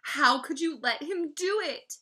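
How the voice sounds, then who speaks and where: A woman's voice: fairly high pitch, big pitch swings, a little slow, pushed and bright, voice pushed up, exaggerated voice. A woman voicing an indignant, exasperated schoolboy big brother in a children's story. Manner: emphatic, indignant.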